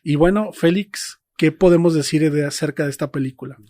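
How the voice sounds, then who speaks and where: A man hosting a casual film discussion, speaking haltingly as he searches for his words. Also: fairly high pitch, dark tone, dry recording, studio quality. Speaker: film podcast host.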